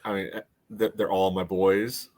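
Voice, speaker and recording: An American man co-hosting a sports podcast, analysing soccer casually in a halting, conversational way. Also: sits low, level tone, darkish tone.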